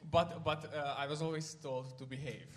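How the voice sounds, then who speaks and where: Man, Hungarian accent, halting speech, echoey church hall, faint ambience. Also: fairly high pitch, fairly even intonation, a little hiss or hum. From Ukraine. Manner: hesitant, many fillers and restarts. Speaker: guest speaker. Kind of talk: church testimony talk.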